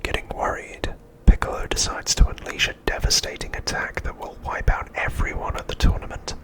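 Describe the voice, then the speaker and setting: A man speaks entirely in a breathy whisper, with almost no voiced tone at all. He narrates in ASMR style, reading a prepared recap in a hushed and measured way. The delivery stays soft and steady, like quiet storytelling. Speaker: ASMR host.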